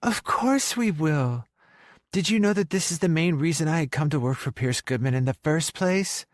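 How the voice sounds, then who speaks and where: Feisty, flustered young woman played by a man, raised pitch, audiobook romance dialogue, very dry sound. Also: expressive, moderate pace, no echo, lightly acted. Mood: exasperated. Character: young woman, flustered, sleepy then frantic, feisty girlfriend.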